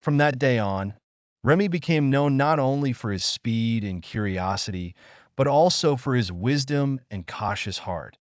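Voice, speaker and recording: A man narrating a scripted children's story in an expressive, measured storytelling manner. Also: mid-range voice, no room sound, dull audio.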